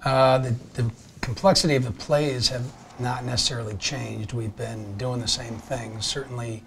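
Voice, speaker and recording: A man in his forties, a head football coach, answering studio interview questions in a measured, thoughtful way with frequent fillers, on a lapel mic. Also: mid-range voice, fairly even intonation, vocal fry, a bit bright, a little hiss or hum. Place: small TV studio.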